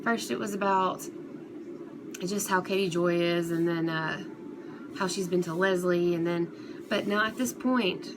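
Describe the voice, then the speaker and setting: A woman's voice: on the low side, a little slow, pauses often. Woman vlogger on a noisy recording. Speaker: commentary vlogger. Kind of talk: casual online drama commentary, unscripted. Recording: clearly noisy recording, background ambience.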